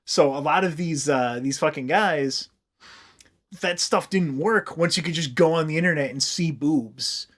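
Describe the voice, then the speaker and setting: Podcast co-host: American man, Midwestern origin, slightly high voice, crude and rambling, casual comedy talk. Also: a little slow. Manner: crude, swearing, loose rambling storytelling. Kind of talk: casual comic chat.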